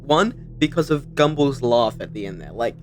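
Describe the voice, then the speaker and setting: Casting: young man, medium pitch, casual video essay narration with a music bed. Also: expressive, moderate pace. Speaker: video host. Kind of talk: casual scripted video commentary. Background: background music.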